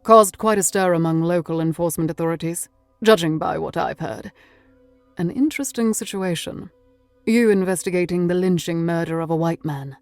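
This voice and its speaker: A woman plays an unruffled British gentleman of the law for an audiobook. His lowish voice is cultured and precise, courteous yet aloof. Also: normal speed, no room sound, soft background music, lightly acted. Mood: polite, composed. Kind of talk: audiobook character voice.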